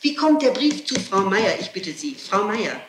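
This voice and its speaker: A woman's voice: medium pitch, very animated, normal speed. A woman under questioning gives a spontaneous, agitated and insistent statement, often breaking off, restarting and repeating her questions. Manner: digressive, breaks off and restarts sentences. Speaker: person being questioned by police. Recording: old archive tape, roomy, distant, hissy.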